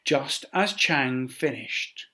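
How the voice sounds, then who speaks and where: A man reading a children's story aloud as a teacher, expressive and with dramatic emphasis. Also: medium pitch, very slow.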